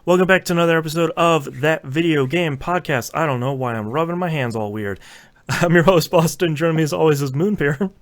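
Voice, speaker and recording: A man's voice: on the high side, brisk. A man hosting a casual gaming podcast, bantering in a jokey way and often laughing as he talks. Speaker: podcast host.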